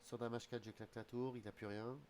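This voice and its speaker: A man's voice: mid-range voice, flat delivery, fast, a little mellow, slight background noise. A man with a slight head cold who streams his chess games, muttering his calculations aloud in half-finished phrases. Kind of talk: thinking aloud while playing online chess. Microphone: streaming desk mic.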